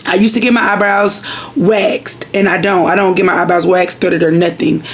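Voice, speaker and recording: A woman talks casually to her viewers from her vanity at home, her voice raspy with plenty of gravelly fry. She rambles and circles back, chatting straight to the camera. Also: on the low side, fairly even intonation, moderate pace. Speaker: vlogger.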